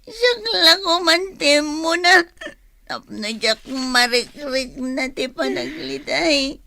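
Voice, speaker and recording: A woman's voice: high voice, animated, slow, vocal fry, sharp tone, exaggerated voice. A woman playing a grieving, drunken, bitter young woman in an audio drama, with an Ilocano Filipino accent and a tearful, wailing drawl. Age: young adult. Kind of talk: melodramatic audio drama acting.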